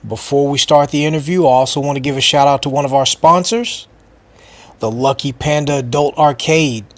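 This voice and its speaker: A man hosting a podcast interview, a little hoarse from a cold, with a scratchy voice. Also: mid-range voice, normal speed. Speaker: podcast host.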